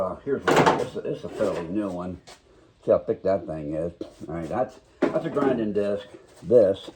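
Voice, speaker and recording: Low, gravelly man muttering through restoration work in a noisy home garage; casual hobbyist host. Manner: quiet, mumbling while working. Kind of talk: casual workshop talk to camera.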